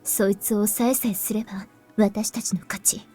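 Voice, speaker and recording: A woman's voice: medium pitch, steady tone, fast, warm tone, close to an everyday voice. A woman playing a determined young schoolgirl on a mission in a Japanese visual novel. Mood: calm, hopeful. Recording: faint game music behind.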